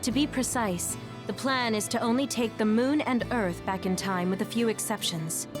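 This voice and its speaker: A woman's voice: a little high, moderate pace, music in the background, close to an everyday voice. A woman voicing a composed, precise explainer in an English video game dub, speaking in an expository manner. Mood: explanatory.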